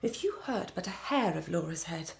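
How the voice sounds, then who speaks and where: An actress with an English accent playing a resolute, protective Victorian gentlewoman in a radio drama, speaking earnestly and formally. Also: lowish voice, expressive, moderate pace, vocal fry, slightly rough, exaggerated voice. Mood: indignant. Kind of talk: radio drama acting with first-person narration.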